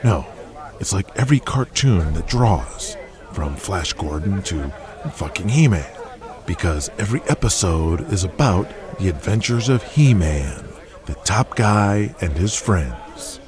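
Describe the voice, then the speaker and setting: A man's voice: low-pitched, very animated, a little slow. A man playing a cynical, blunt, foul-mouthed 1980s wrestling promoter in an audio drama, speaking with authority. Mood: lecturing. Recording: crowd noise behind the voice. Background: arena crowd.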